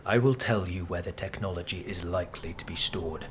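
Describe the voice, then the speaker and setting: An actor playing an intense, scheming alien scholar in a video game, speaking in a formal, deliberate, theatrical way. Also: male voice, low-pitched, slight background noise, lightly acted. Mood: solemn.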